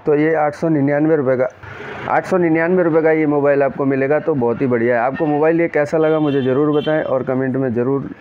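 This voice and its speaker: A man's voice: a little high, steady tone, fast. A man hosting a casual, unscripted unboxing video, talking directly to viewers and often repeating himself as he explains the product. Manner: repetitive, addresses viewers directly. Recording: dull lo-fi audio, clear ambient noise.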